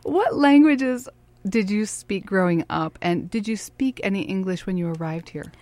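Woman host, medium pitch, conversational interview style, welcoming, curious, clearly phrased questions. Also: moderate pace, mellow.

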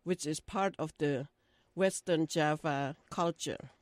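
A woman's voice: low-pitched, slow, no room sound. An older woman from Indonesia, speaking hesitantly with many fillers and frequent laughs in an oral history interview. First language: Dutch.

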